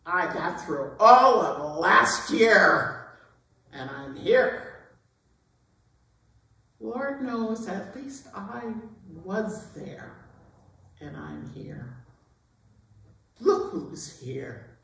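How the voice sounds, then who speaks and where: In a reverberant hall, at a distance from the microphone, a woman plays a world-weary stage veteran. She recites very slowly and wryly, with dramatic pauses and a proud survivor's air. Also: mid-range voice, very animated, vocal fry, fairly bright tone, heavily acted. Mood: defiant. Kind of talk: theatrical recitation of show-tune lyrics. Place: performance hall, recorded from a distance.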